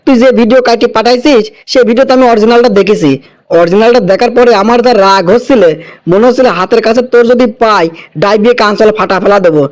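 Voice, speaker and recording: Man acting high-pitched, fast-scolding village uncle. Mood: angry scolding. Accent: Kushtia, Bangladesh. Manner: hectoring, rhetorical scolding. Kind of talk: animated cartoon dialogue.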